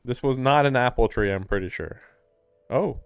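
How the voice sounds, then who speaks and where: A man's voice: mid-range voice, normal speed, clear, resonant tone, no room sound, faint music behind. A man doing casual livestream commentary on a game, with skeptical, offhand asides, close on the microphone.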